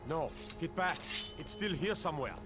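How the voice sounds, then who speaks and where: A man's voice: fairly high pitch, lively intonation, moderate pace, over music, lightly acted. A man playing an anxious town guard who fears a dragon, tense and alarmed, in a fantasy video game. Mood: frightened, alarmed.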